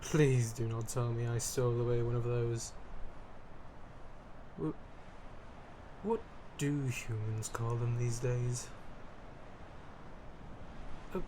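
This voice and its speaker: A man's voice: medium pitch, fairly even intonation, slow pace, long pauses, background noise, close to an everyday voice. A man playing a theatrical, fussy vampire aristocrat in an ASMR roleplay, with pompous old-fashioned wording, flustered stammers and dramatic emphasis. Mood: amused, apologetic. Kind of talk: ASMR roleplay character acting, addressing the listener.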